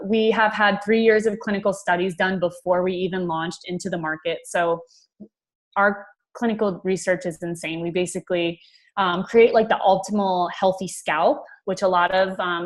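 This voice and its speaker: A woman giving an informal, enthusiastic sales pitch as a team leader on a conference call.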